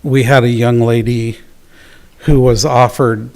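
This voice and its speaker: A man presenting at a public town council meeting, talking in a measured, earnest way with fillers and pauses. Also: mid-range voice, flat delivery, darkish tone, rough recording. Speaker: youth program presenter.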